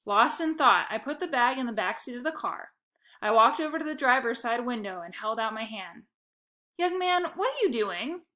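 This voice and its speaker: A woman reads a children's chapter book aloud in a bright, clear voice pitched a touch high. Her delivery is expressive and playful, voicing the young narrator's thoughts with lively emphasis. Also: a little fast. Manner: expressive, playful first-person reading. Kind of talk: reading a children's novel aloud.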